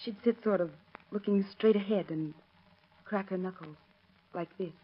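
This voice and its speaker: Actress as agreeable young woman, coquettish, medium pitch, 1930s radio drama, dull recording. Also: moderate pace, close to an everyday voice. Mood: coy, obliging. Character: coy, agreeable young actress, eager to help.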